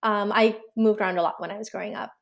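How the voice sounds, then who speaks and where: A young woman from Pakistan, an author and illustrator, answering interview questions in a relaxed, reflective way with many ums and likes. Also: mid-range voice, fast, creaky. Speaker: guest, children's book author and illustrator.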